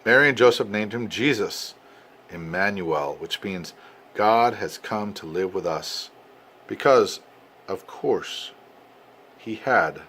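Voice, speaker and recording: An older man, a grandfather, reads a storybook aloud to his family expressively, with dramatic pauses and emphasis. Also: on the low side, lively intonation, fairly bright tone. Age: 60s or older. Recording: noisy amateur home recording.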